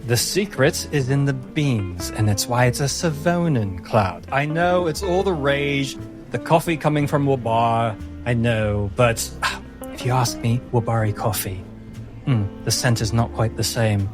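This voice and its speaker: Courteous male café proprietor, acted by a man, mid-pitched, proud and savoring, over a music bed. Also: unhurried, close to an everyday voice. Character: proud, courteous male café owner devoted to his coffee. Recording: music playing under the voice.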